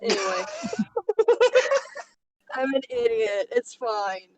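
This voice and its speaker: Higher-voiced, slow-paced woman reading a story. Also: huge pitch swings, background noise. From Texas, United States. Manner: playful, self-interrupting, sarcastic. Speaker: co-host reading a story aloud. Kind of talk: casual story reading with chatty asides.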